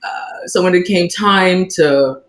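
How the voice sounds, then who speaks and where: A woman who grew up in the Bahamas, speaking on an author panel and telling animated stories, playing out the voices of people she quotes and laughing often. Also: lowish voice, slow, roomy sound. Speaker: author on a book festival panel. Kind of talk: casual panel talk, storytelling.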